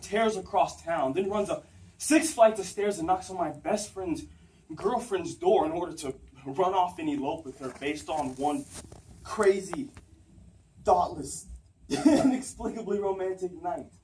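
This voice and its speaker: A man acting out an anguished, self-doubting rationalist confessing forbidden love in an articulate, earnest stage monologue with dramatic pauses. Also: high-pitched, a little mellow. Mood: agitated disbelief. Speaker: acting student. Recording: roomy, distant mic, faint background noise.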